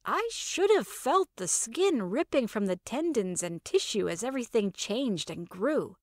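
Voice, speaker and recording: A woman narrates first-person audio fiction with wry expressiveness. Her voice sits fairly high and moves in lively, animated swings, recorded dry and close. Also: a little slow, no echo.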